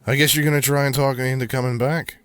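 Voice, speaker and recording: A man's voice: mid-range voice, steady tone, quick pace, fairly bright tone, clean tone, dry recording, close to an everyday voice. A man playing a bitter, grieving young man set on revenge in an audio drama, speaking bluntly. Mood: bitter, hostile. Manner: blunt, hostile, clipped.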